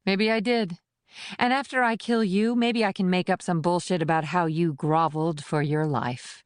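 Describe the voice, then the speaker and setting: A man's voice: sits high, fairly even intonation, normal speed, smooth, no echo, clean studio sound, pitched above the performer's own voice, close to an everyday voice. A man voicing a menacing, taunting female agent in an audiobook thriller. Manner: taunting, threatening. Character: cold menacing woman agent with gun. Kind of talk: audiobook narration.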